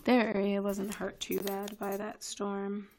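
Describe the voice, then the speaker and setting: A woman's voice: medium pitch, monotone, measured pace, a little mellow, a little hiss or hum. A young woman whose first language is French, chatting casually on a live stream from her living room, rambling with lots of fillers. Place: living room at home, indoors. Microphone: headset with webcam.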